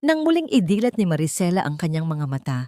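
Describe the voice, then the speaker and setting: A woman's voice: on the low side, big pitch swings, quick pace, mellow, dry recording, clean studio sound. A woman with a Filipino accent reading scripted, dramatic narration for a romance drama story.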